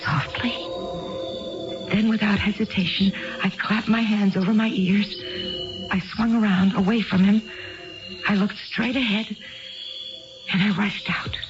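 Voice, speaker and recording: Actress as confused, lonely barfly wife: rambling, unsure, needy for approval. Vintage radio drama, dull limited-band sound. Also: female voice, mid-range voice, steady tone, a little slow, long pauses, natural voice. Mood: tense, hushed. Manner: hesitant, rambling, seeking reassurance. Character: confused, chatty, insecure wife drinking alone in a bar. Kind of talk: 1970s radio drama acting. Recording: band-limited 1970s radio broadcast, faint music.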